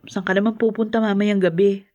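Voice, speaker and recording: An actress with a Filipino accent playing a dutiful, caring eldest sister, gentle and meek, in a podcast drama. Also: female voice, mid-range voice, little pitch movement, brisk, highs cut off, close to an everyday voice. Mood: gentle, concerned.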